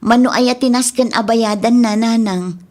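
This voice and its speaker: A woman's voice: on the high side, normal speed, darkish tone, close to an everyday voice. A woman playing a caring, self-sacrificing elder daughter in a radio drama, earnest and concerned. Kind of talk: amateur radio drama acting.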